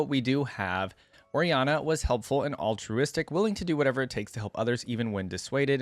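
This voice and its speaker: A man's voice: medium pitch, no room sound. Casual male reaction host, dry close sound. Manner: musing, tentative, addresses viewers directly. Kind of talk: casual commentary, partly reading a wiki aloud.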